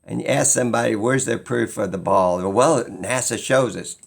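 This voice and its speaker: A man's voice: mid-range voice, moderate pace, gravelly. A man giving an unscripted opinion monologue as a video creator, rambling and insistent, repeating phrases for emphasis.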